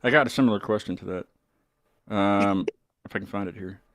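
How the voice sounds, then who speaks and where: A man's voice: lowish voice, moderate pace, fairly bright tone, no room sound, studio quality. A man hosting a casual chat, joking and rambling as he goes. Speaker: podcast host interviewing a band.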